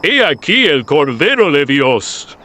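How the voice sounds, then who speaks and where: Casting: male actor as a fervent desert prophet for scripture audio drama, higher-set voice, measured and emphatic. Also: very animated, pushed and bright, exaggerated voice. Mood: fervent testimony. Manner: slow, solemn, emphatic proclaiming. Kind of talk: Bible radio play acting.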